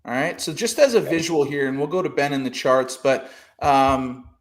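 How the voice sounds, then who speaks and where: A man's voice: medium pitch, normal speed. A man hosting a casual trading podcast over a video call, rambling with lots of fillers and restarts. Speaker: podcast host.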